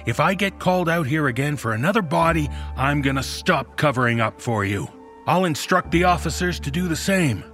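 A man's voice: fairly high pitch, animated, a little fast, fairly bright tone, faint music behind. A man playing a blunt, confrontational small-town sheriff in a horror audio drama, folksy and accusing in the way he talks. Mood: threatening.

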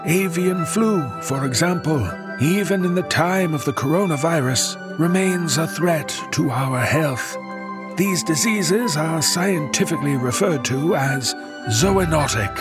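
Man playing a slow, pompous radio presenter. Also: a little high, music in the background, close to an everyday voice. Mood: measured, solemn. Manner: measured, solemn, pompous. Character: Forsyth Mercer, genteel male radio documentary presenter. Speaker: radio programme host. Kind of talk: formal scripted radio presentation.

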